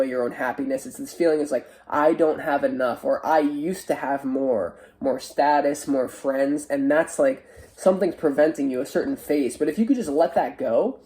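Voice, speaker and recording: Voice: mid-range voice, warm tone. A tarot reader with a stuffy, nasal sound, talking in a casual, chatty and hesitant way through a spiritual reading. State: stuffy, congested nose. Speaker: tarot reader, online show host.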